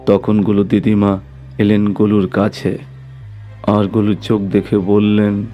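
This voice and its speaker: A man's voice: lowish voice, completely flat delivery, measured pace, lo-fi sound, soft background music. A man narrating a scripted horror story as the storyteller.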